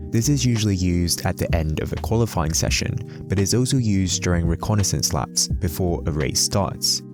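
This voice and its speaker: A man giving a scripted explainer narration as the host of a video. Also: sits low.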